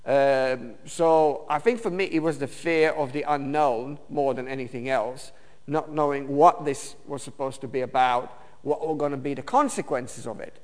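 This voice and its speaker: A man gives a personal testimony from the pulpit. His voice is clear and resonant, at a middle pitch, and he talks haltingly, with many fillers and restarts. A long reverb hangs in the hall around him. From Romania. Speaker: preacher.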